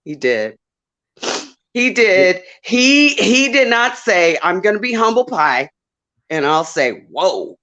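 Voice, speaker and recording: Southern woman caller, emotional and laughing, slow and swooping delivery. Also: medium pitch, sing-song, fairly bright tone. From Southern United States. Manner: laughs often, emotional and chatty. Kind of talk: casual call-in chat.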